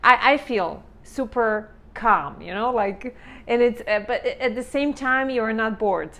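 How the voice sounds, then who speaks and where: A woman's voice: on the high side, unhurried, fairly bright tone. A woman hosting an interview, asking long, searching questions and giving frequent small acknowledgments. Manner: rambling questions, many backchannels. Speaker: interviewer host.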